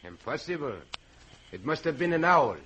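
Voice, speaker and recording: A man's voice: a little high, sing-song, moderate pace, fairly bright tone, breathy edge, heavily acted. French woodsman with swooping intonation. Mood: calm, reassuring. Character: earnest French-speaking backwoods guide, calm host. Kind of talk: old-time radio drama acting. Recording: noisy vintage radio recording.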